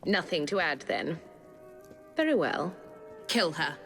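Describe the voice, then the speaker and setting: An actress playing a cold, menacing female Templar villain in a Victorian video game, speaking in a measured, taunting, commanding way. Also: deep voice, animated, a bit bright, faint music behind, exaggerated voice. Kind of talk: dramatic video game cutscene acting.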